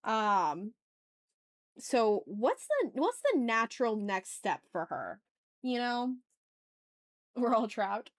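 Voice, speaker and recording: A woman's voice: a little high, very animated, takes it slowly, long pauses, dry recording, studio quality. A woman chatting casually and playfully with her viewers as she hosts a live art stream. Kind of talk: casual livestream chat while drawing.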